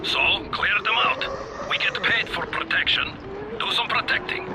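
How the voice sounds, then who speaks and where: Animated, commanding gang handler. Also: male voice, sits high, normal speed, heavy background noise. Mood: dry pressure. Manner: matter-of-fact, dry, commanding. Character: brisk, unsympathetic male gang handler. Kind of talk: video game cutscene acting.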